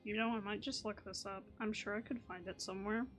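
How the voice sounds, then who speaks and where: A woman's voice: medium pitch, fairly even intonation, fast, fairly bright tone, soft background music. A woman hosting a gaming video, giving casual, musing commentary that wanders off on tangents. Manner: musing, digressive. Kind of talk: casual chatty playthrough commentary.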